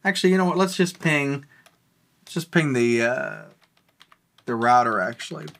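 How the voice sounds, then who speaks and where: A tech video host giving a casual, rambling walkthrough of a software tool, thinking aloud while clicking. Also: medium pitch, animated, normal speed.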